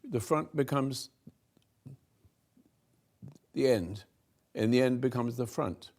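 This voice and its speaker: An older man slowly giving a rambling spiritual talk to a room, halting and restarting, swinging between soft and loud. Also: mid-range voice. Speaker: spiritual teacher hosting a talk.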